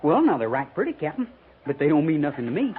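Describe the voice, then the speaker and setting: High-voiced, folksy frontier army man. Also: sing-song, quick pace. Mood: wary. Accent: rural American. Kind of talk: 1950s radio western acting. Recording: noisy, tinny 1950s radio recording.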